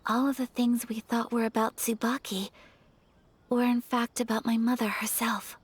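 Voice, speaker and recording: A woman playing a refined young noblewoman in a video game, gentle and earnest, speaking softly in formal, measured phrases. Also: fairly high pitch, level tone, breathy edge. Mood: reflective. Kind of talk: video game character acting. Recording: soft music behind the voice.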